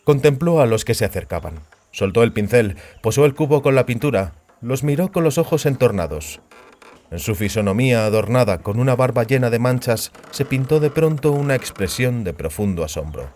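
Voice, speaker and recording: A man's voice: mid-range voice, animated, moderate pace, darkish tone, slight background noise. A man reading scripted literary narration for a fantasy audiobook as its narrator.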